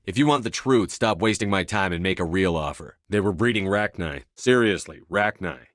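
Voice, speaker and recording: A man's voice: low-pitched, expressive, normal speed, no echo, studio quality. A man playing a stern, commanding space soldier hero in a video game, speaking in a terse, controlled, authoritative way. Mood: firm, hard-nosed, then dry, firm. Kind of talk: video game dialogue acting.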